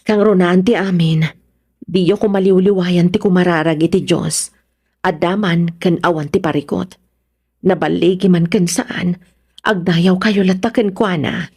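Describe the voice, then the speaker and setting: A woman with an Ilocano accent playing a devout, caring middle-aged mother in a radio drama, gently admonishing. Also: lowish voice, steady tone, unhurried, breathy edge. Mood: worried, tender. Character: devout, caring provincial mother, worried for her children.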